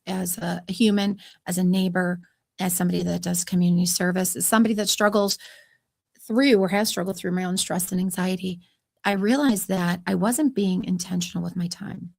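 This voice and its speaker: Chatty podcast host lady, soothing voice w/ some vocal fry, dry close sound. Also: fairly low pitch, level tone, fairly quick, a little mellow, no room sound. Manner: chatty and upbeat, laughs easily. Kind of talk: conversational podcast interview.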